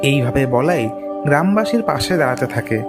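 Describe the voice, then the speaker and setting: A man's voice: medium pitch, animated, normal speed. A man narrating a scripted moral tale for a cartoon. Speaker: story narrator. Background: music.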